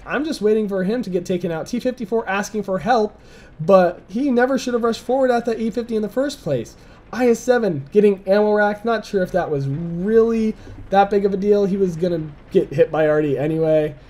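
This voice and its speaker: Young male gamer commentator, slightly hoarse, high, mellow voice, relaxed and chuckling. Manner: relaxed, chuckling, analytical play-by-play. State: slightly hoarse, dry throat. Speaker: gameplay commentator. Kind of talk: casual replay commentary.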